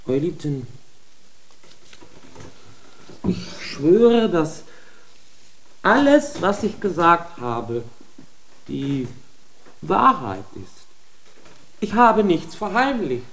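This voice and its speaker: Humble, fearful accused for a radio drama, slow and submissive with a lively, rising and falling voice. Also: male voice, on the high side, heavily acted, low-quality recording. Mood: pleading. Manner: pleading, submissive, deferential. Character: humble, frightened male accused before a church tribunal.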